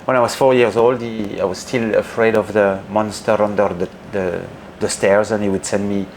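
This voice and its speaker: Male wine educator, French accent, low voice, modest and halting conversational delivery, audible background noise. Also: fairly even intonation, low-quality recording. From northeast France. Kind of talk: casual interview chat, telling anecdotes.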